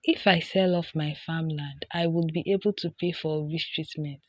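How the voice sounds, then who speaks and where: A woman's voice: low-pitched, steady tone, moderate pace, no room sound. A woman voicing a poor, devoted village father in a folktale, earnest and grave. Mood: worried resolve. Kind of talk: acted folktale storytelling.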